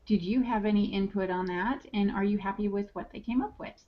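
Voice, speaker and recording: A woman's voice: medium pitch, brisk. A woman moderating a podcast, putting questions to authors in a conversational style. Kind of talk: conversational interviewing. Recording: muffled lo-fi audio with noise.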